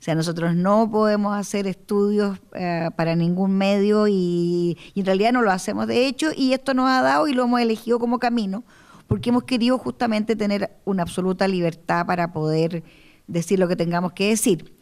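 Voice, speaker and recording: A woman speaking as a panel guest. Her voice is mid-pitched, and her delivery is measured, with a few pauses and fillers. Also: fairly even intonation, a little mellow, clean tone. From Chile. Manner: measured, with hesitations. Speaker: invited panelist, observatory director. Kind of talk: public talk at a panel. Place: university lecture hall.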